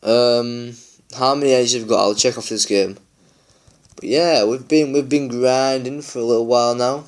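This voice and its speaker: A man chatting casually while playing a mobile game, tired and a bit croaky, rambling with lots of fillers. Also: mid-range voice, steady tone, moderate pace. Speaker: gaming YouTuber. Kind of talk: casual gameplay chat.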